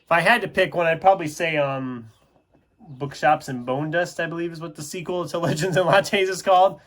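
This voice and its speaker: A man with a somewhat high voice chats casually as a video host sharing his opinions. He speaks off the cuff in a conversational, rambling way, often breaking off and restarting his thoughts. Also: brisk, a bit bright. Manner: rambling, self-interrupting, conversational. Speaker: book reviewer video host. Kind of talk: casual unscripted chat to camera.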